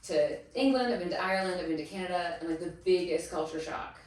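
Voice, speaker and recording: Woman guest, 30s, lower-pitched, lively storyteller; echoey room, mic at a distance. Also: fast talker. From Massachusetts. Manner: chatty storyteller, animated quoting of others. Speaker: guest, teacher. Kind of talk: casual interview chat.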